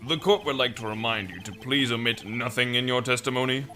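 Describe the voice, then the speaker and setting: A man's voice: mid-range voice, a little fast, over music, natural voice. A man voicing a formal, solemn and gullible courtroom judge in a fan-dubbed visual novel game. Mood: stern. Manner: formal, solemn, easily startled.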